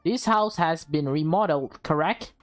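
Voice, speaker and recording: A woman's voice: deep voice, normal speed, dry recording, soft background music, natural voice. Woman playing a brusque, suspicious male client. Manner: curt, impatient, interrogating.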